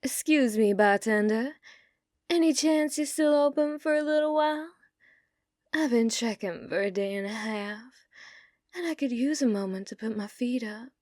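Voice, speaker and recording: A woman's voice: fairly high pitch, moderate pace, a little mellow, no echo, close to an everyday voice. Woman acting a teasing, dominant bounty hunter, close and dry. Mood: pleading, playful. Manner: coaxing, drawling endearments, drops into breathy whispers. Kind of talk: ASMR roleplay, scripted character acting.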